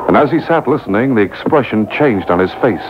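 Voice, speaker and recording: A man's voice: expressive, a little fast, natural voice. A man narrating an old-time radio adventure serial as a dramatic, scripted storyteller. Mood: suspenseful. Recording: noisy muffled boombox taping of AM radio.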